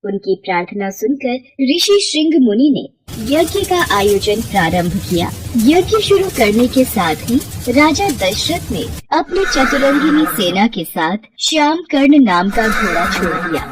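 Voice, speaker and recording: A woman storyteller narrates a scripted devotional tale. Her voice sits fairly high and moves with very lively intonation, over faint background noise. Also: measured pace, hardly any pauses.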